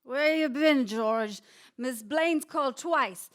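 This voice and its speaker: A woman portrays a bullying, drink-sodden shopkeeper broken by grief. The voice is high, harsh and highly emotional, as in vintage radio melodrama. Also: slow pace. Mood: drunken rage. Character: drunken, grieving, bullying male druggist. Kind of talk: old-time radio melodrama acting.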